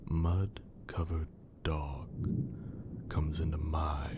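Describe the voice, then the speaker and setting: Man playing a slow, low, whispering vampire lord. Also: little pitch movement, background noise, exaggerated voice. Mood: stern, imperious. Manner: theatrical, drawling, often breathy near-whisper. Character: haughty, menacing, teasing male vampire lord. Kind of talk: ASMR roleplay, scripted character acting.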